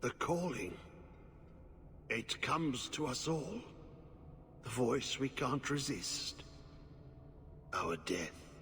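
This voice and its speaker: Game role: half-mad old ex-commander, extremely slow and halting, cryptic, breathy, haunted, in an echoing cavern-like reverb. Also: male voice, medium pitch, sing-song, fairly bright tone, full character voice. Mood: haunted, dazed. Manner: halting, cryptic broken phrases. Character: half-mad, blight-tainted former Grey Warden commander, haunted old man. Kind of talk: video game character acting. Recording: echoing hall with noisy ambience.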